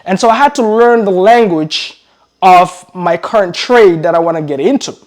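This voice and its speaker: Energetic man coaching in accented English. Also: high-pitched, moderate pace. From grew up in Belgium. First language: not English. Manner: energetic, addresses viewers as "guys", many fillers and repetitions. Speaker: real estate coach. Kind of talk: motivational talk to camera.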